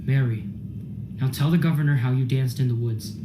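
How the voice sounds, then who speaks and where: A man's voice: mid-range voice, a little fast, loud music behind the voice, lightly acted. A man acting an earnest, defiant husband in a radio play, plain-spoken and insistent. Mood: accusing. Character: earnest, defiant farmer husband defending his wife. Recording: echoey room sound.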